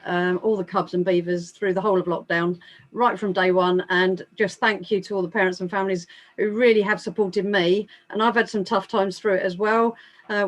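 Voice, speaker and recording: An English woman speaking informally and earnestly as a scout leader, enthusiastic and emphatic in making her appeal. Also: medium pitch. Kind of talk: informal appeal at an online awards evening.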